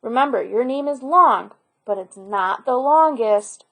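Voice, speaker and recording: A woman's voice: a little high, lively intonation, unhurried, a bit bright, heavily acted. A woman voicing a loving, playful grandmother in a storybook, with an encouraging manner. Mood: fond, teasing. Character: loving, lively, playful grandmother of a young boy.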